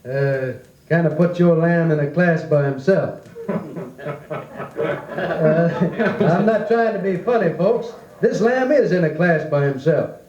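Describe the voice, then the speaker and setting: High-voiced genial county fair judge. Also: male voice, slow pace, a little mellow. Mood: kindly, amused. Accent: American. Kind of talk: 1940s radio drama acting. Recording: echoey, dull 1940s record.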